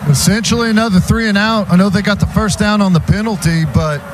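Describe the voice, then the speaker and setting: A man's voice: high voice, fast talker, crowd noise. A man giving conversational color commentary on a live football radio broadcast, with stadium noise behind him.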